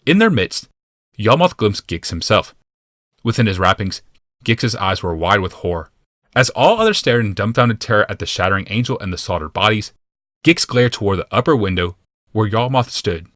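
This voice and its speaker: A man narrating a fantasy audiobook from a script in a measured, deliberate and dramatic manner. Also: fairly low pitch, very animated, fairly bright tone, no room sound, studio quality.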